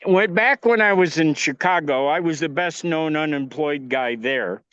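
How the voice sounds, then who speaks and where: High, smooth-voiced American man. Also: normal speed, fairly bright tone, dry recording, lo-fi sound. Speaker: podcast co-host. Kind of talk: conversational anecdote telling.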